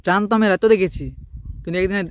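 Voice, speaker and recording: Very fast-talking, high-pitched young Bengali man. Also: noisy background.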